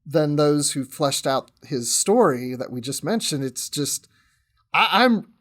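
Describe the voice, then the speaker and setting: A man in a casual podcast discussion, his voice sitting a touch higher than most men's. He speaks conversationally, working out his points aloud as a guest in the chat. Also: animated, unhurried, a bit bright.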